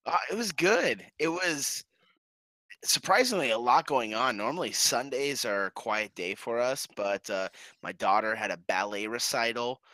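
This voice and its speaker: A man's voice: medium pitch, moderate pace, bright, forceful tone, no room sound. A man in his thirties co-hosting a podcast, chatting casually with crude jokes. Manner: joking, profane banter. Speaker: podcast co-host. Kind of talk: casual chat.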